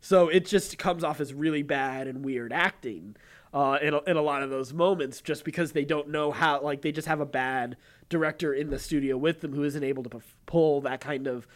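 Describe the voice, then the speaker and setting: A man with a voice a little higher than most chats casually as a podcast co-host. He is halting and digressive, circling around his points and often chuckling. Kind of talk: casual chat about TV and voice acting.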